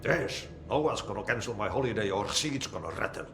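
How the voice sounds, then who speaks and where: An actor with a Finnish accent playing an eccentric, cheerful janitor who talks slowly in a sing-song way and mangles idioms. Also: male voice, fairly low pitch, animated, reverberant, over music. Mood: reassuring. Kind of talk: video game cutscene acting.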